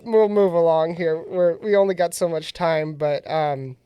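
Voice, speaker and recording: A man's voice: fairly high pitch, fairly even intonation, unhurried, smooth, no room sound, highs cut off. A man hosting a casual radio talk show, sounding nasal after being sick, laughing often as he tells a story. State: nasal from recent illness. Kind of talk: casual chat.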